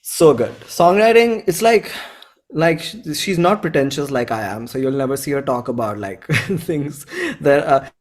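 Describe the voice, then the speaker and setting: A man's voice: a little high, expressive, moderate pace. A man in his twenties from India, with an Indian accent, chatting casually as a musician guest in an enthusiastic, effusive, slangy way. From Bhopal, India. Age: 20s. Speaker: indie musician, interview guest. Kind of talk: casual chat.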